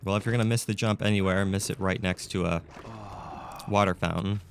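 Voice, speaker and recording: A man with a scratchy, raspy throat, casually commentating a video game as he plays. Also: sits low, steady tone, fairly quick. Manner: chatty, thinks aloud with fillers. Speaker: gameplay commentator. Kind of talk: casual let's-play commentary.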